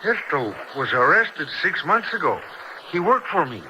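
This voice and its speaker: An actor playing a jovial, sardonic Latin American newspaper editor in a 1970s radio drama, teasing and ironic. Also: male voice, on the high side, very animated, unhurried, muffled recording. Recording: noisy old radio broadcast.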